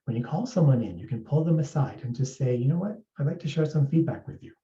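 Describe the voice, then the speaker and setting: A man from East Africa giving a measured, explanatory workplace presentation as an HR speaker. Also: medium pitch, lively intonation, mellow. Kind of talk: presentation talk. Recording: roomy, distant, dull sound.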